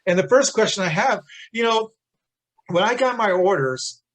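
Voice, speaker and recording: Chatty man in his sixties, higher-pitched voice. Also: lively intonation, normal speed, a bit bright, low-quality recording. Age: 60s. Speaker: interviewer, Navy veteran. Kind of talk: casual conversational interview.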